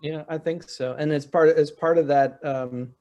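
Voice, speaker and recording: A man's voice: on the high side, fairly even intonation, moderate pace, a little mellow. A man speaking as a convention panelist in a conversational way, qualifying his points as he goes.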